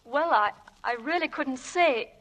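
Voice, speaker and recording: A polite office secretary, a little flustered. Her voice is high, in the formal manner of 1940s radio drama acting. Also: measured pace, fairly bright tone.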